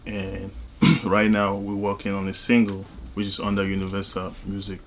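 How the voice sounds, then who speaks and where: A man from Cameroon, talking casually as an interview guest in a halting way, with frequent asides. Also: lowish voice, completely flat delivery, slight background noise. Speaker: interview guest, music artist and label executive.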